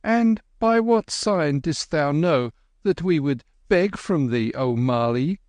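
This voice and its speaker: A quick-witted street boy answers back in a lifted, tart, cocky voice, as a man reads him. The sound is close and dry, with a slight gravelly grain. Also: fairly high pitch, sing-song, a little mellow, no echo, voice pushed up.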